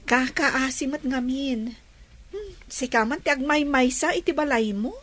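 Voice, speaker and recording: A woman's voice: sits high, a little slow, fairly bright tone, a little hiss or hum. An actress playing an earnest, stubborn young woman in love in a radio drama. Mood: sweet, affectionate.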